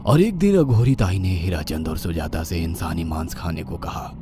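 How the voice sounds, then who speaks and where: A man's voice: deep voice, animated, normal speed. A man narrating a scripted horror story dramatically, with music playing behind him. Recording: loud background music.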